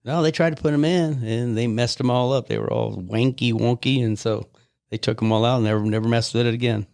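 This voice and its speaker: A man's voice: medium pitch, fairly even intonation, fairly quick, vocal fry, a little mellow, no echo. An older man chatting as a podcast guest, telling rambling stories about old times into a close mic. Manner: rambling storyteller, reminiscing. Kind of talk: casual reminiscing chat.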